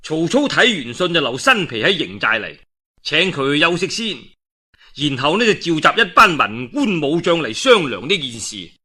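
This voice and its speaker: A male storyteller with a Guangdong Cantonese accent narrates in a very bright, forceful voice. His pitch sits a little high and swings widely, giving the colloquial, dramatic delivery plenty of emphasis. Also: moderate pace, breathy edge. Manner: colloquial, expressive, dramatic with frequent emphatic particles. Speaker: storyteller of a classical historical novel. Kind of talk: animated traditional oral storytelling narration.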